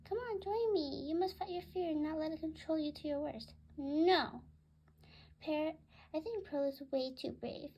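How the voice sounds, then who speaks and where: A woman voicing excitable, insistent pet cockatiels in a read-aloud story. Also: high voice, measured pace, slight background noise, pitched above the performer's own voice. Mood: urging.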